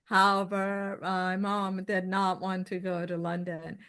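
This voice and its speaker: A woman's voice: mid-range voice, completely flat delivery, measured pace, fairly bright tone, clean tone. Persian-accented woman in her fifties. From Iran. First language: Farsi. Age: 50s. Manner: rambling storyteller, many fillers and restarts. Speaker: guest. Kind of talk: casual interview chat.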